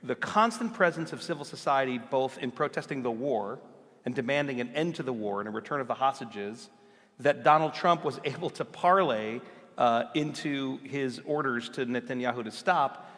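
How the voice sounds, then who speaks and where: A man moderating an onstage conversation at a live event, earnest and halting, with frequent restarts. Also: medium pitch, expressive, a bit bright, in a large hall. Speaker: moderator, organization CEO. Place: large hall, live event.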